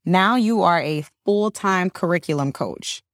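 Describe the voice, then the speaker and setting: Host: woman with a low-pitched voice, encouraging interviewer, dry close recording. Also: lively intonation, a little slow, no room sound. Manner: encouraging, prompting with short affirmations. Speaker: podcast host. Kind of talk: interview questions.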